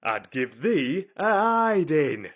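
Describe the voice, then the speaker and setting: Guy doing a cranky old yorkshire gardener, scolding and blustering, swoops up loud, bit raspy, audiobook style. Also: a little high, sing-song, slow pace, dull audio, pitched above the performer's own voice, full character voice. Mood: indignant scolding. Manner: haranguing, loud and outraged.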